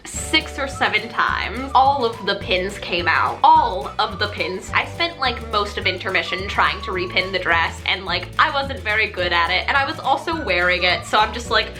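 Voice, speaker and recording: A young adult woman telling stories in a casual vlog, chatty, animated and self-deprecating. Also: medium pitch, moderate pace, few pauses, over music. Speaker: vlogger and stage actress.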